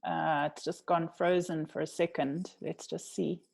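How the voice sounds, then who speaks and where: A middle-aged woman with a fairly low voice puts questions in a conversational way. She goes very slowly, tentative and pausing partway through her sentences. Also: level tone, vocal fry, darkish tone, slightly rough. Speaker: interviewer. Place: small indoor room. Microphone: earphone headset on video call.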